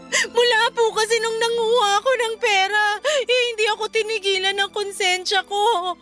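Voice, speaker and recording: A woman's voice: extremely high pitch, fairly even intonation, moderate pace, bright, forceful tone, faint music behind. A woman playing a remorseful, pleading thief in a radio drama, apologetic and deferential toward her employer. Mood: ashamed, tearful. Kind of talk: radio drama acting in Tagalog.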